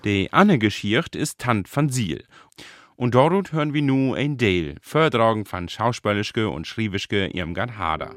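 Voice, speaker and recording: A man's voice: fairly low pitch, expressive, measured pace. A man with a Northern German accent delivering scripted narration as a radio reporter.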